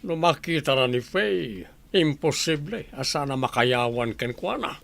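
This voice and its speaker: Gravelly, calculating father. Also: fairly high pitch, animated, unhurried, a bit bright, heavily acted. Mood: scheming. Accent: Filipino, Ilocano. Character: calculating wealthy middle-aged father plotting his daughter's marriage. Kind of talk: radio drama acting.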